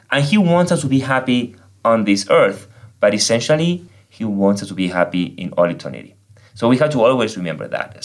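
A man from Argentina with an Argentine Spanish accent, a priest and native Spanish speaker, explaining faith earnestly in a podcast conversation. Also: medium pitch.

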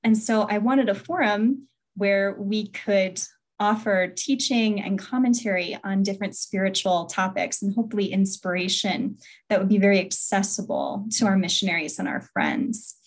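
A woman's voice: mid-range voice, moderate pace. A woman hosting a podcast, speaking in a conversational interview style. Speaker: podcast host.

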